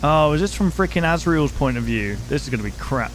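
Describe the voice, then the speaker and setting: A man's voice: a little high, animated, fairly quick, a bit bright, a little hiss or hum, rough recording. A man giving blunt, informal running commentary as a reaction video host, sometimes reading text aloud.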